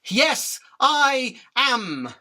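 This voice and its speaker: A woman's voice: high voice, very animated, very slow, bright, forceful tone, full character voice. A woman playing a tiny, earnest, defiant winged insect prophet of doom in a radio drama, with an urgent, preachy, sardonic delivery. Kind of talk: theatrical radio drama acting.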